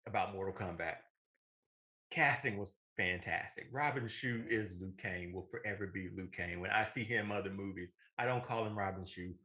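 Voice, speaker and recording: American man, 30s, movie show co-host. Bright, punchy voice, emphatic opinions, casual and enthusiastic delivery. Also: on the low side.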